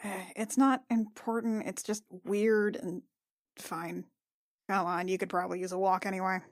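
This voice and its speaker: A woman playing an awkward, guarded but protective warrior in a fantasy game, speaking in a halting, wry, casual way. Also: medium pitch, fairly even intonation, dry recording, clean studio sound, close to an everyday voice.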